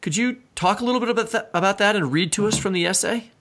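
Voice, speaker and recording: An American man speaks in a somewhat high-set voice as a podcast co-host. His delivery moves between prepared introductions and informal, conversational asides. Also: fast, a bit bright. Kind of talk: conversational podcast hosting, partly scripted.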